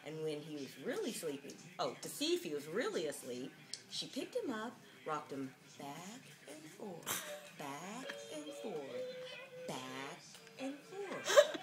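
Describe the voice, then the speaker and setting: A woman's voice: low-pitched, wildly swooping pitch, slow, music in the background. A woman giving a mock-dramatic reading of a children's picture book, with jokey, sardonic, giggly asides, on an amateur home video.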